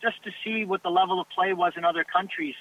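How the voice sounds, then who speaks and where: A high-voiced Canadian man talks about his soccer agent work, earnest and stumbling over the first words of his sentences. He comes through a thin, tinny phone line. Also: fairly even intonation, quick pace, a bit bright. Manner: earnest, repeats words when starting sentences. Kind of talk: casual interview talk.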